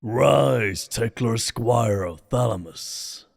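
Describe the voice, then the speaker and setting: A man's voice: mid-range voice, drawn-out words, gravelly, exaggerated voice. A man acting a stately, gracious fantasy king who speaks formally and ceremoniously in an audio drama. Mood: regal, ceremonious. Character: grateful, stately fantasy king addressing heroes. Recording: long reverb of a large hall.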